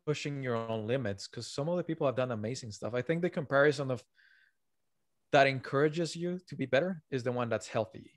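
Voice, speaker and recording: A man's voice: mid-range voice, fairly quick, no echo. Earnest non-native male author, dry sound. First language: not English. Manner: earnest, emphatic, gives examples. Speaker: author guest. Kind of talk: podcast interview. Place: home room with bookshelves. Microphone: video call, headset.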